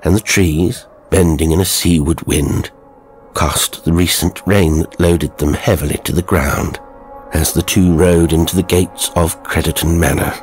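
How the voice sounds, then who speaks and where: Very low-pitched man narrating horror. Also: steady tone, unhurried, soft background music. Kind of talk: dramatic scripted audiobook narration.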